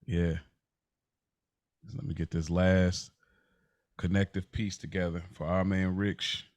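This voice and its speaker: Casual low-pitched man, very dry recording. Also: level tone, slow pace, darkish tone, no room sound. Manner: informal, slangy. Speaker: podcast co-host. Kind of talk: casual conversational podcast chat.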